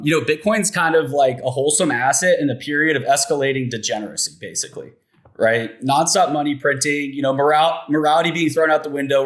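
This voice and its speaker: A man from Georgia in the US, a podcast guest speaking in a laid-back, unhurried way. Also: mid-range voice, fairly bright tone. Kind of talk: casual roundtable discussion.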